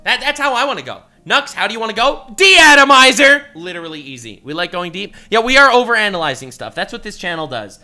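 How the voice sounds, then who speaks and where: A young man reacting to a video, excitable and loud, often shouting and swearing, then dropping to a mutter. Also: high-pitched, quick pace, hardly any pauses, soft background music. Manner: animated, swings from shouting to mumbling, swears a lot.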